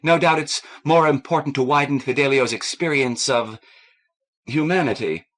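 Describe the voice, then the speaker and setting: A man's voice: mid-range voice, normal speed, fairly bright tone, voice pushed up, close to an everyday voice. A man voicing a cold, rigid, haughty woman scientist in an audiobook, in a stiff, clipped manner. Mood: icy.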